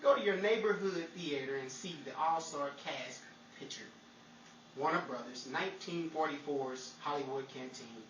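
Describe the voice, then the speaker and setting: Man playing a bright-voiced 1940s radio host. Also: on the high side, moderate pace, recorded from a distance, lightly acted. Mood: smooth announcing. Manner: theatrical, salesmanlike. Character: upbeat, smooth-talking 1940s radio show host. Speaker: host and announcer. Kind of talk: 1940s radio announcing and comedy acting. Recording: echoey room, off mic, faint noise.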